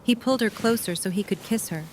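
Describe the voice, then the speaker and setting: A female narrator reads scripted story passages for an audio drama. Her pitch is average, and there is faint noise behind the voice. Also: fast talker, low-quality recording.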